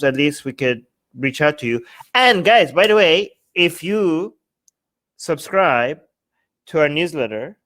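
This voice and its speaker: A man's voice: a little high, fairly bright tone, no room sound. A man giving casual political commentary as a talk show host, speaking emphatically and often tagging points with rhetorical questions.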